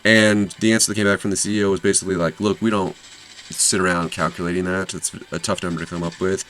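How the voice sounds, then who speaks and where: A man's voice: low-pitched, flat delivery, quick pace, a bit bright, slight background noise. A man hosting an investing channel, casually summarizing an earnings call with lots of fillers and self-corrections.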